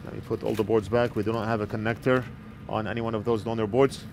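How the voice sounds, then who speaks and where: A man's voice: lowish voice, little pitch movement, fast, creaky, a little mellow. Man in his 40s, repair tutorial, plain explanatory delivery, headset mic, faint traffic hum behind. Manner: plain, explanatory, talks while working. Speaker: repair technician. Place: electronics repair workbench indoors. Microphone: wired earpiece headset mic.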